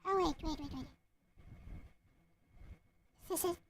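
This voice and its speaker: A woman with a strikingly high, childlike pitch talks casually to herself. She goes slowly and haltingly, as if thinking aloud at a computer. There is faint noise in the recording. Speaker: visual novel creator narrating. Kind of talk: casual chat while searching computer files.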